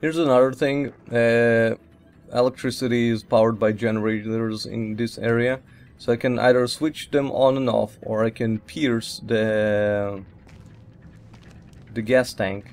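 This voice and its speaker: A man's voice: mid-range voice, steady tone, a little slow, a little mellow. A man giving casual let's play commentary as he plays a video game. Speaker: gamer.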